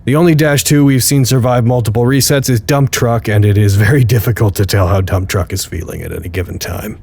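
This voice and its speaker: A man's voice: fairly low pitch, brisk, gravelly, a little mellow, slight background noise. A man playing a weary, dutiful researcher who records formal, articulate status logs with dry wit in an audio drama. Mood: wry, dry. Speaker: narrator. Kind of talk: audio drama log narration.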